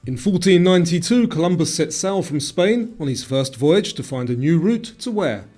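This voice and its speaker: A man with a somewhat high voice narrates a scripted quiz. His delivery is measured and presenterly, and music plays behind him. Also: animated, a little mellow. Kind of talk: scripted quiz narration, multiple-choice questions.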